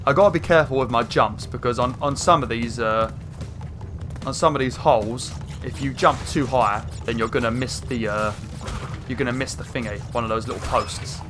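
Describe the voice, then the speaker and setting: A young man with a croaky throat, rambling through casual gameplay commentary with lots of fillers. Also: mid-range voice, normal speed. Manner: rambling, chatty, many fillers, excited outbursts. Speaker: gaming channel host. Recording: faint game music behind. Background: game music.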